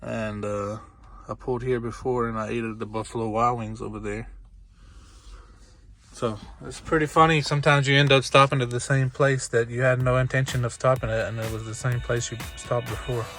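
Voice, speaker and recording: A man in his thirties or forties talking casually to his phone as a trucker vlogger, in a halting, matter-of-fact way. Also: mid-range voice, fairly even intonation, fairly bright tone. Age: 30s to 40s. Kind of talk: casual vlog talk. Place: truck cab and open parking lots outdoors. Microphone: phone at arm's length. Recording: noisy outdoor and truck-cab phone recording.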